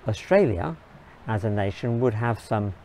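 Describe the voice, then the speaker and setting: Earnest low-voiced man. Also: lively intonation, darkish tone, a little hiss or hum. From England. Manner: earnest, measured, in short halting phrases. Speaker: video blogger. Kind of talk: personal opinion monologue.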